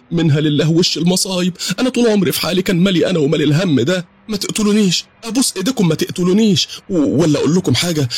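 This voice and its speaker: A man voicing a panicked woman servant in a storybook tale, shrill and pleading in Egyptian Arabic. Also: slightly rough.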